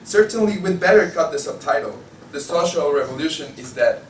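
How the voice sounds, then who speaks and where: A man's voice: on the high side, lively intonation, brisk, distant mic, close to an everyday voice. Student acting a disillusioned male editor, echoey room. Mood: weary, bitter. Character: jaded, disillusioned male editor of a radical journal. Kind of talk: student table read of a stage play. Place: indoor room, table read. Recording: echoey room from afar, faint noise.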